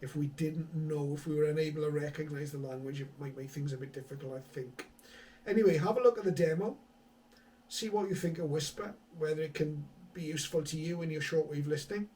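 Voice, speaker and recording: A man's voice: a little high, fairly quick, dark tone, recorded from a distance, slight background noise, poor audio. A man presenting a hobby video in a casual, conversational explaining style, with some hesitant thinking aloud. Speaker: hobby radio vlogger, presenter. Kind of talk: casual tech explainer to camera.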